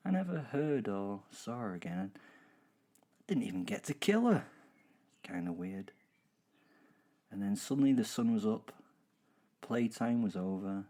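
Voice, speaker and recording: Male actor as a werewolf narrator, wryly sentimental yet menacing; low-leaning voice, unhurried, halting dramatic pauses. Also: animated. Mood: wistful. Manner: halting, repeats words, hushed dramatic pauses. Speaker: narrator of a horror audio drama. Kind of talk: dramatic first-person storytelling.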